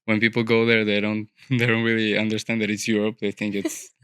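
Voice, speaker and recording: A man's voice: on the low side, completely flat delivery, brisk, a bit bright, clear, resonant tone, dry recording, clean studio sound. A young man from Albania, an architecture student, chatting as a podcast guest in a thoughtful way with many fillers and restarts. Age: young adult. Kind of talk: casual interview conversation. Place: studio.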